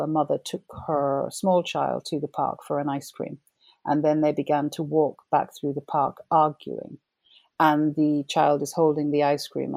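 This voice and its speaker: A woman's voice: deep voice, creaky, mellow, no echo. A middle-aged woman novelist from Jamaica, talking about her writing in a thoughtful, reflective interview. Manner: thoughtful, reflective, laughs as she talks. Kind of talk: conversational interview.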